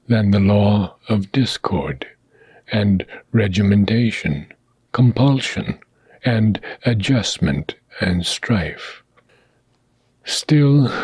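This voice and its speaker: Man reading a philosophy book really slowly, mellow husky voice, trails off into whispers at phrase ends. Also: medium pitch, dry recording. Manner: deliberate, short phrases with pauses, phrase ends fading to a breathy whisper. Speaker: audiobook reader. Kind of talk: slow measured reading of a philosophical text.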